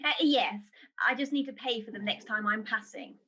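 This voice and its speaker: Bright-voiced, chatty committee woman played by a woman. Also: a little high, moderate pace, slightly rough, heavily acted. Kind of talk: pantomime radio play acting.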